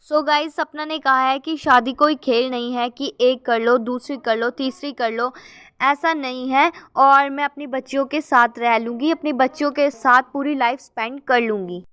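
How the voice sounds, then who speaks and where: A woman's voice: high-pitched, steady tone, normal speed, fairly bright tone, clear, resonant tone, dry recording. A woman hosting a gossip channel, chatting casually as she reads out viewer comments and keeps addressing her viewers as guys.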